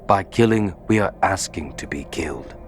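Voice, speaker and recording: Jackal god of death played by a man, deep pitch, soft-spoken, solemn, measured, audio drama, light music. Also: expressive. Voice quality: soft, almost sweet. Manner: measured, philosophical. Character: hulking smoke-faced jackal death god, gentle and solemn.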